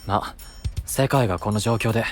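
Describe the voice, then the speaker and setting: An actor playing a frank, good-natured young knight, a younger brother who talks casually and straightforwardly, in an anime audio drama. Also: male voice, lowish voice, normal speed, music in the background, lightly acted. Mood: matter-of-fact.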